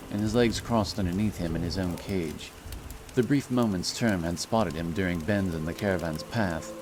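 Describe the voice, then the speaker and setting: A man narrating a fantasy audiobook in a solemn, measured storytelling style. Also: low-pitched. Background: music and rain sound effects.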